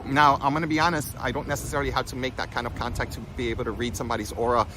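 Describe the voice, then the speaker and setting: A man giving an informal spiritual talk with personal stories, in an animated, conversational manner. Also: medium pitch, racing, noisy background. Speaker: spiritual healing coach and vlogger.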